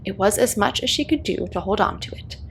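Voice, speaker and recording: Expressive, creaky-voiced woman storyteller. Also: medium pitch, brisk, a little hiss or hum, low-quality recording. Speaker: read-aloud host, storyteller. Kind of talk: calming children's audiobook narration.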